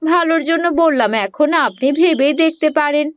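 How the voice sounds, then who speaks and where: A woman's voice: high-pitched, brisk, dry recording, highs cut off, natural voice. A woman voicing a nosy, persuasive village neighbour in a cartoon, pressing advice on the listener. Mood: pressing, advising. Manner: pressing, advising. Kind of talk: Bengali animated fairy-tale voice acting.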